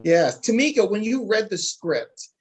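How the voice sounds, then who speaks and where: A man's voice: sits high, big pitch swings, unhurried, a little mellow. A man hosting an interview conversationally, affirming what is said with murmurs of agreement. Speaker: interviewer, host.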